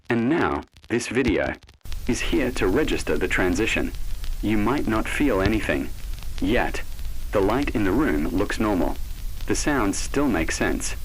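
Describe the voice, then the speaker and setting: A man reading a scripted analog horror narration in a measured, cryptic way, with short phrases and deliberate pauses. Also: on the low side, slight background noise, poor audio. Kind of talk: scripted horror narration, ominous second-person address.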